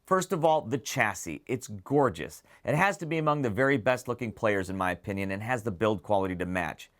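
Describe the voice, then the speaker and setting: A man's voice: on the low side, lively intonation, a little fast, fairly bright tone. A man hosting a product preview, speaking to viewers in a detailed, enthusiastic, semi-scripted way. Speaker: presenter of an audio gear preview show.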